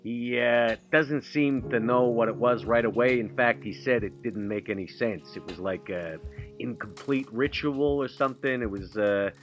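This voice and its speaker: A man plays a tabletop game in character with a gruff, stern tone. He halts often and fills gaps with hesitations. Faint music plays behind him. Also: medium pitch. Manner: gruff, halting with many fillers. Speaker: player. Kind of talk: in-character roleplay.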